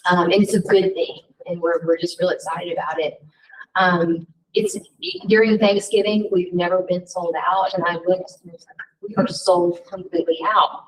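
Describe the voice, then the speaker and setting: Lower-voiced woman, slightly hoarse, far off. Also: fairly even intonation, moderate pace. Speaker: hotel manager. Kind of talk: informal update. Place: meeting room. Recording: distant room mic at a meeting.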